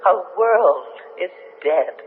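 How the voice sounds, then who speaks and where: An actor playing a disembodied alien survivor in a 1970s radio drama, speaking in slow, solemn, insistent phrases. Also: male voice, high-pitched, lively intonation. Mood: mournful, solemn. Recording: tinny, noisy old radio broadcast.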